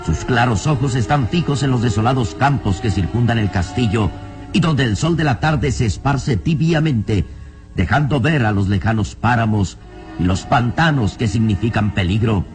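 A man's voice: mid-range voice, moderate pace, a little mellow. Solemn male radio-serial narrator. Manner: solemn, declamatory. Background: faint music.

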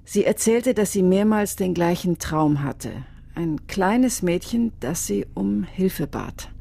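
An actress playing a solemn, soulful female psychic medium in a radio crime drama, speaking in a measured, hesitant, grave way. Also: fairly low pitch, animated, vocal fry, mellow, no echo, exaggerated voice. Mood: solemn, mysterious.

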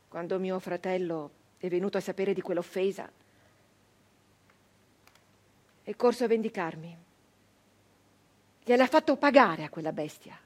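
An actress playing an embittered, wounded brigand woman of the nineteenth century in a theatrical monologue, speaking in halting, emphatic short phrases with a husky voice. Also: a little high, a bit bright. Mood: pained, subdued. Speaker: stage actress.

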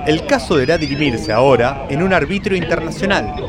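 Male narrator, Argentine, brisk, mock-solemn radio serial style, heavy crowd noise. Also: a little high, expressive.

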